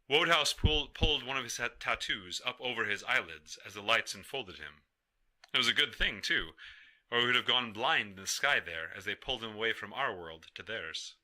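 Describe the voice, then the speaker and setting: Forceful, bright-voiced male storyteller. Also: mid-range voice. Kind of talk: dramatic folktale narration.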